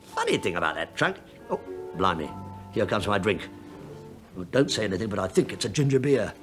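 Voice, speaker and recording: An actor playing a sly, penny-pinching British police inspector in a radio play, wry and mock-deferential, feigning absent-mindedness. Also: male voice, fairly low pitch, sing-song, brisk, a bit bright, soft background music. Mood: wry, teasing.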